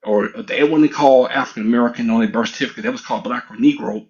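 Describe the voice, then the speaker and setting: A middle-aged man makes his case in a casual online room, pressing hard and circling back over the same points. His voice sits at an ordinary pitch for a man. The audio comes through dull and lo-fi. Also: fast talker, darkish tone. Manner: assertive, rambling, repetitive. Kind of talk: casual heated argument.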